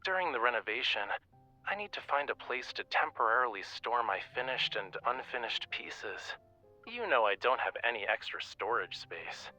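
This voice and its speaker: Teasing young artist, crisp bright voice. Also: male voice, mid-range voice, very animated, moderate pace, faint music behind, poor audio. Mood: breezy, confident. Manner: casual, lazily teasing. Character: playful, self-assured young male artist, languidly fussy. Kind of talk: video game romance voice acting.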